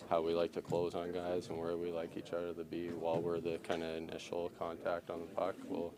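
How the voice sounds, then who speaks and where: A hockey player at a press scrum, answering in halting speech full of fillers and repeated words. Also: male voice, sits low, monotone, a crowd in the background. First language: English.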